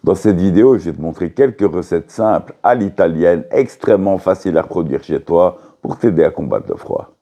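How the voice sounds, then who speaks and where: A man with a cold and a sore, hoarse throat, casually talking the viewer through simple home recipes with jokes along the way. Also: deep voice, lively intonation, fairly quick, hardly any pauses, dark tone, lo-fi sound. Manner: joking, chatty, talks straight to the viewer. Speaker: cooking vlogger. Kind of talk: casual cooking video narration. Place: his bedroom. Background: guitar music.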